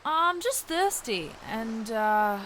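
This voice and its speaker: An eager young companion, played by a woman in an audio drama. The voice is fairly high and lively, hesitant in places, over faint background noise. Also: slow, exaggerated voice. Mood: sheepish. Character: eager, slightly insecure young companion, chirpy and loyal.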